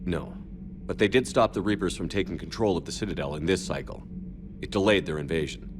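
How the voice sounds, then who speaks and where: A man playing a steady, earnest military commander in a video game, speaking in a direct, businesslike way. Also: sits low, a little fast, background noise, close to an everyday voice. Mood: serious, inquiring. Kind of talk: video game character dialogue.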